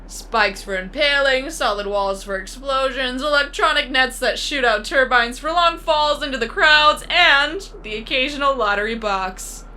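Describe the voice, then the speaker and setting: A woman's voice: high-pitched, a little slow, hardly any pauses, sharp tone. A woman playing a disembodied, coolly menacing female announcer of a deadly arena game in an audio drama, composed and instructive. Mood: coolly teasing. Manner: composed, instructive, matter-of-fact about death. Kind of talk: scripted audio drama acting.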